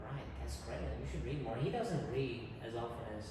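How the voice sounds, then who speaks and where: A man's voice: mid-range voice, lively intonation, brisk. Teasing man, distant and echoey. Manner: teasing, dry remarks. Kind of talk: casual chat. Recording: echoey room, far from mic, background noise.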